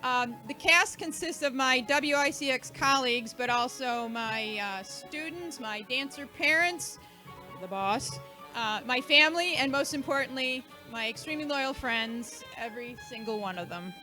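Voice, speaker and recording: Female event host, high and bright, chatty and emphatic, thanking a crowd informally. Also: unhurried, faint music behind. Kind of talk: informal thank-you speech to a live audience.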